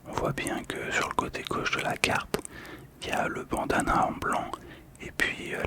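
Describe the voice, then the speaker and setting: A man speaks entirely in a hushed whisper, in the style of ASMR. His delivery is soft, calm and gentle. He describes what he examines in an unhurried way. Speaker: host of a manga card ASMR channel.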